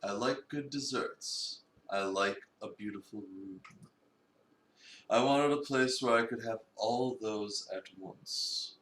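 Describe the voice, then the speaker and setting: A man voicing a brooding, theatrical, eccentric cat cafe owner in a visual novel, speaking in a measured, solemn, formal way. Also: on the low side, fairly bright tone. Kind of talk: dramatic reading of a visual novel. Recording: echoey room, distant mic, noisy.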